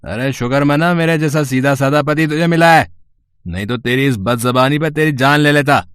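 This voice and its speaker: A man's voice: medium pitch, normal speed, fairly bright tone, clear, resonant tone, no echo, natural voice. A man acts out a menacing drunk husband from a village melodrama. He rambles in a slurred, bullying way, full of suspicion. The recording is dry, with hardly any room around the voice. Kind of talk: melodramatic Hindi audio story acting.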